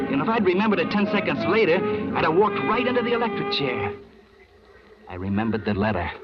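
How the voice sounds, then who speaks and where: An American man acting a scheming, jittery husband who narrates his crime in slangy, intense 1940s radio thriller style. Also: fairly high pitch. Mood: shaken, despairing. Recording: muffled vintage radio recording with music.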